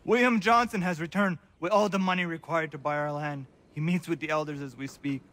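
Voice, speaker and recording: An actor playing an earnest, worried villager who speaks urgently and formally in a video game drama. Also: male voice, fairly high pitch, fairly even intonation, fairly quick, a bit bright, a little hiss or hum, natural voice.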